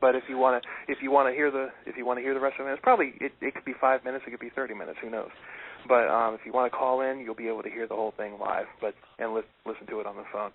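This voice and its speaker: A man's voice: medium pitch, fairly even intonation, rapid-fire. A man co-hosting a loose talk show, chatting casually and rambling with lots of fillers and restarts. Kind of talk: casual chat. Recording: tinny phone-like internet radio.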